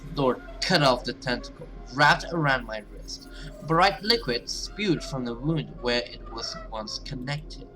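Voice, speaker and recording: Expressive man narrating a horror game, music behind. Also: medium pitch, a little slow, poor audio. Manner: expressive, dramatic reading with casual asides. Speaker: gaming video host. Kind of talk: video game let's play, reading story text aloud with reactions.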